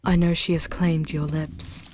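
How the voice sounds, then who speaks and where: Over noticeable background noise, a seductive female demon played by a woman speaks very slowly in a lowish voice. She is intense and protective, with theatrical, hushed phrasing suited to a dramatic ASMR roleplay. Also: level tone. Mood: tender, coaxing. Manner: theatrical, hushed, drawn-out phrasing, often slipping into whispers.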